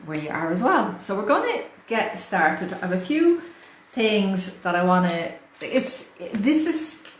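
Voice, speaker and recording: A woman in her forties with an Irish accent, hosting a casual livestream, chatty and laughing often. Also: fairly low pitch. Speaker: host. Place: hot room in a house. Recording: echoey room with faint fan noise. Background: fan running.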